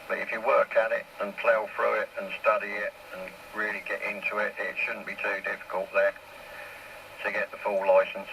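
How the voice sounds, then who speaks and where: A man with a low, gravelly voice talks casually over a two-way radio with faint noise on the signal. His intonation stays fairly even as he explains things step by step. He is plain-spoken and unhurried in how he lays things out. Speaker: amateur radio operator. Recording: noisy two-way CB radio transmission.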